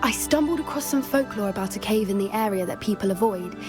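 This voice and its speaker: A woman's voice: mid-range voice, expressive, quick pace, natural voice. A woman voicing an earnest, anxious teenage witch in a video game, polite and a little hesitant. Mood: curious, thoughtful. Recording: music behind the voice. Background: music.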